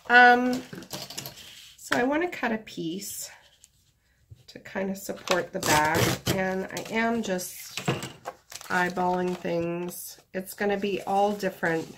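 A woman talks through her crafting in a slow, rambling, easygoing way, her nose stuffed up from a cold. Her voice sits low with a gravelly fry. The recording is noisy, full of paper rustling at the table. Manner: chatty, rambling, relaxed while working with her hands. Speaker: craft video host. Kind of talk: casual craft tutorial talk. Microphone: camera above a tabletop, hands only.

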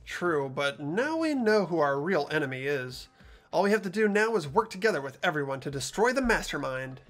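A man's voice: on the high side, sing-song, fairly quick, fairly bright tone, soft background music. A man voicing an earnest, anxious teenage boy in a fan-voiced visual novel playthrough. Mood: determined.

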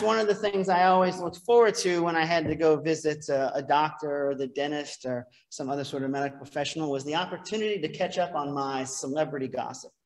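Middle-aged man preaching in a reverberant church. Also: fairly high pitch. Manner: conversational, self-deprecating preaching with asides. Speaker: priest. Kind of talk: sermon. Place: church sanctuary, behind a pulpit.

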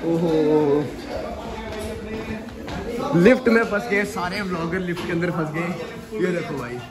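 A man's voice: on the high side, measured pace, music in the background. A young man from India chatting casually for a vlog, repeating his phrases for emphasis. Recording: noisy crowd of voices around.

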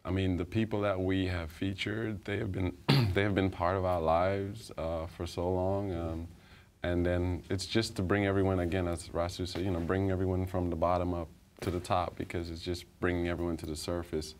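A man from Guyana, a photographer guest in a TV studio interview, speaking hesitantly with many pauses and ums. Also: low voice, flat delivery, darkish tone. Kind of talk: casual interview talk. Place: TV studio, indoors.

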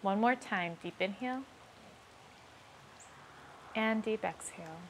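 A woman slowly and calmly leading guided self-affirmations, repeating each line twice. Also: mid-range voice, a little hiss or hum. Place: outdoors on a rainy day.

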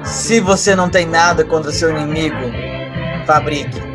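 Man acting cocky high-voiced young schemer. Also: normal speed, over music, close to an everyday voice. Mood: self-satisfied. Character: brash young male schemer, cocky and vengeful. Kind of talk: audio drama acting.

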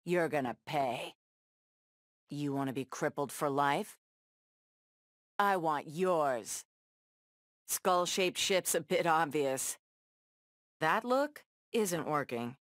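An actress playing a tough, sardonic superheroine brawler in a video game, tossing out sassy, taunting one-liners. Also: female voice, low voice, expressive, slow pace, pauses often, no room sound, studio quality. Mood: cocky, taunting. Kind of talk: video game fight banter.

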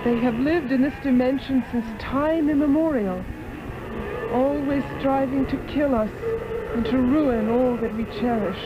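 An actress playing an earnest woman of a dark dimension, formal and urgent, in a 1960s radio drama. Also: high voice, unhurried, heavy background noise. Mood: grave pleading. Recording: dull lo-fi old radio, music behind.

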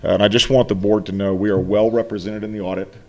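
A man's voice: lowish voice, flat delivery, quick pace. A middle-aged man giving a formal finance report to a board, speaking earnestly and emphatically, often restarting his phrases. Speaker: college finance officer. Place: meeting room, seated at a table. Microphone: desk microphone.

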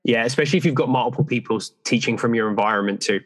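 A man with a deeper voice, a fitness coach speaking as a podcast guest in a relaxed, rambling way. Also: racing. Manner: relaxed, rambling with fillers like "so yeah". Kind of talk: casual interview chat.

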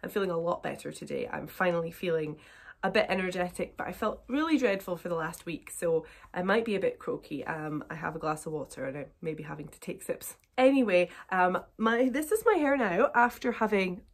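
A British woman in her thirties with a slightly croaky, blocked voice from a cold, chatting to viewers in a casual hair tutorial vlog from her bedroom. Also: fairly low pitch, fairly quick. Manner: chatty, upbeat, talks to viewers. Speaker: curly hair YouTuber. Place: bedroom, indoors, small room.

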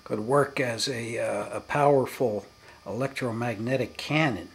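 A man giving an unscripted demonstration as a hobbyist, in halting, broken phrases with emphatic stresses. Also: mid-range voice, slightly rough. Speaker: hobbyist demonstrating his electronics experiments.

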